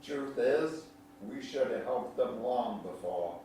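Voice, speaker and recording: A man's voice: mid-range voice, lively intonation, slow pace, dark tone. An actor playing a folksy older country man, a kindly, teasing storyteller with a homespun drawl, in a theatrical staged reading. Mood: wise, reflective. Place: large echoey indoor room at a staged reading. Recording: echoey hall, distant mic, faint noise.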